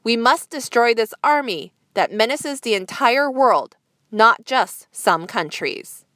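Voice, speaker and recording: A woman's voice: a little high, lively intonation, slow, fairly bright tone, no room sound, clean studio sound. A woman news presenter reading a scripted news bulletin.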